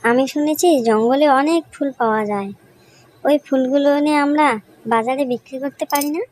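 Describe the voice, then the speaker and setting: A woman's voice: high voice, brisk, a little mellow, slight background noise, close to an everyday voice. A woman voicing a caring young village daughter in a cartoon story, earnest and coaxing. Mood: hopeful. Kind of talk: Bengali cartoon story voice acting.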